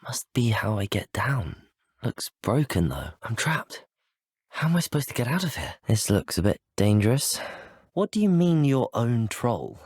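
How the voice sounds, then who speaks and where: A man's voice: mid-range voice, very animated, normal speed, darkish tone, slightly rough, dry recording, clean studio sound. A young man with a British accent voicing a polite, plucky, well-spoken teenage student wizard in a video game. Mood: hushed musing, then polite, curious. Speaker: video game player character voice. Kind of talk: scripted game voice lines.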